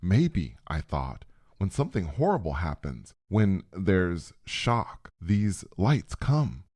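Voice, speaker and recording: A man's voice: low voice, darkish tone, no room sound, clean studio sound. A man narrating a suspenseful first-person story in short, dramatic phrases, as a scripted storyteller.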